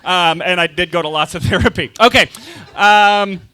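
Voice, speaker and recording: A man's voice: sits high, normal speed, pushed and bright, clear, resonant tone. A man from Phoenix co-hosting a comedy podcast, teasing audience members in live crowd work. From Phoenix, USA. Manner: teasing, talks over his co-host.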